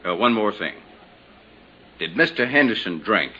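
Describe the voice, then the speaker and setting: An actor playing a formal coroner who questions a witness and reads a verdict in a 1950s radio drama. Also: male voice, on the low side, big pitch swings, normal speed. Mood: probing. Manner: formal, official. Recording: dull lo-fi old radio with faint noise.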